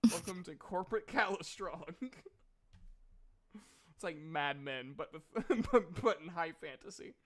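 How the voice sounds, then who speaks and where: A man's voice: fairly high pitch, expressive, slow, long pauses. A man running a tabletop role-playing game as game master, narrating and guiding players in a playful, teasing way.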